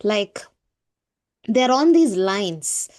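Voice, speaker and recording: A woman's voice: medium pitch, animated, very slow, a little mellow. A woman from South India with an Indian accent, chatting as a co-host in a casual spiritual discussion.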